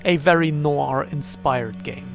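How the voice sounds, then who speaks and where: A man's voice: a little high, takes it slowly, gravelly. A man giving casual livestream commentary on a game, rephrasing himself as he goes. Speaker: game streamer. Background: faint game music.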